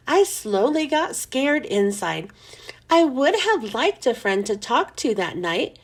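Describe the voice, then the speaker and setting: High, animated woman reading a children's story. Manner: animated, storytelling for young listeners. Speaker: children's book read-aloud host. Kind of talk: expressive scripted children's story narration.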